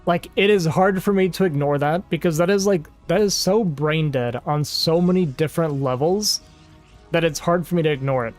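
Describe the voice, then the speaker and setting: Male game streamer, slightly high voice, rambling, exasperated, casual chat with viewers, faint music behind. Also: moderate pace, darkish tone. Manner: rambling and exasperated, heavy use of "like".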